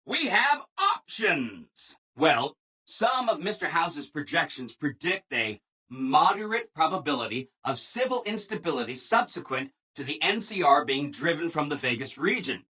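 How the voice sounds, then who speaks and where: A man's voice: on the high side, bright, forceful tone, husky, full character voice. A man voicing a relentlessly cheerful, eager-to-please robot assistant in a video game, with a perky, over-polite manner. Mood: gleeful, upbeat. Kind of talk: video game character dialogue.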